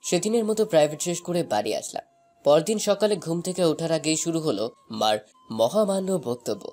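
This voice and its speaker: A man's voice: on the high side, animated, a little fast, a little mellow, faint music behind. A man playing a shy young college student, narrating a romantic story in the first person.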